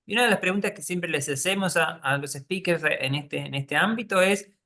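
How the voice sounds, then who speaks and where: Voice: mid-range voice, fairly quick, pushed and bright. A moderator with a Uruguayan Spanish accent, hosting a webinar panel in a loose, conversational way with many fillers and restarts.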